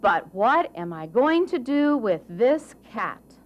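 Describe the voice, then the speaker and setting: A woman as a bewildered young miller's son, very expressive. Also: a little high, slow. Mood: sad, worried. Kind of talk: storybook character reading.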